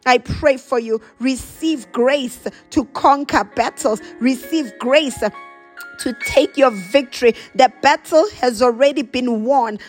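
A high-voiced woman delivers a charismatic prayer as a preacher would to her listeners. She repeats short declarative phrases and moves between plain exhortation and speaking in tongues. Faint music is heard along with her voice. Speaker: preacher praying over listeners.

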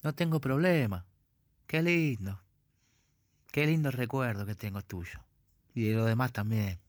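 A man's voice: mid-range voice, measured pace, slight background noise, lightly acted. A man with an Argentine accent playing an eager, sentimental middle-aged former classmate. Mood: gushing.

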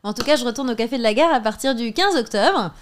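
A woman's voice: a little high, animated, fast. A young adult woman, a stand-up comedian, arguing her point insistently in a debate, with many fillers and restarts. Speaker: guest comedian. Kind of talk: heated debate.

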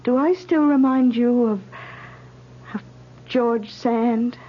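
A woman's voice: on the high side, steady tone, slow. An actress playing a coy, outwardly sweet and soothing American woman in a radio drama. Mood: coy, probing. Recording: muffled, hissy vintage radio broadcast.

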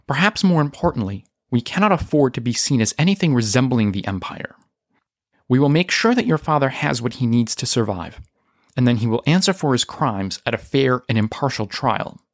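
A man voicing a composed, principled female rebel leader with a formal, measured, firm manner. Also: medium pitch, big pitch swings, no echo, studio quality. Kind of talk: audio drama character reading.

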